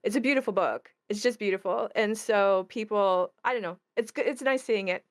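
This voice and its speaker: A woman's voice: medium pitch, fairly quick. A woman chatting casually and with enthusiasm as a game creator moderating a panel.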